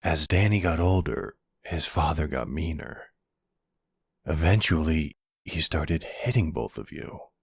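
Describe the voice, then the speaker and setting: A man playing an older mafia boss, a protective and tender lover, speaking soothingly in an intimate ASMR boyfriend roleplay with a gravelly, breathy voice. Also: extremely low pitch, no echo, studio quality, full character voice. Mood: sombre. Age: middle-aged. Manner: soothing, unhurried, full of pet names.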